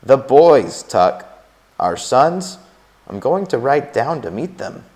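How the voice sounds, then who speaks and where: A man reading aloud, voicing a calm, sensible middle-aged farm wife in a raised, lilting voice. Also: medium pitch, slow. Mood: patient.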